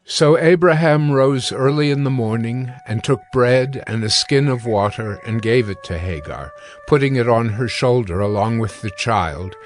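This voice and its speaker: A man narrating scripture from a script in a formal, measured way. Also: mid-range voice. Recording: background music under the voice.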